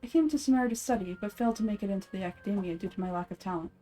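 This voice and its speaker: A woman's voice: medium pitch, very fast, dark tone, faint music behind, close to an everyday voice. A woman voicing a cheerful, earnest townsperson in fan-made fantasy game dialogue. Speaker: amateur voice actor.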